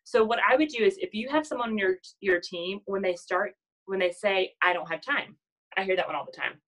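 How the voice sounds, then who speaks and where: A woman's voice: mid-range voice, a little fast, a bit bright, rough recording. A woman in her thirties with a nasal voice, giving a casual motivational talk to her sales team and often asking "does that make sense?". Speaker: network marketing team leader.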